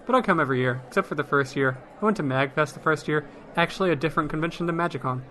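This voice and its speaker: Man acting a bright-voiced, wry town mage. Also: on the high side, racing, a little hiss or hum. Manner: dry, explanatory banter. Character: wry, matter-of-fact male town mage. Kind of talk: fantasy comedy audio drama.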